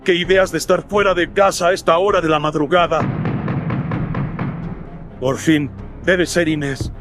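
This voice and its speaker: Desperate old-world gentleman father played by a man, high voice, theatrical anguish, horror radio drama, faint music. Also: fairly even intonation, normal speed, natural voice. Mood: bewildered, alarmed.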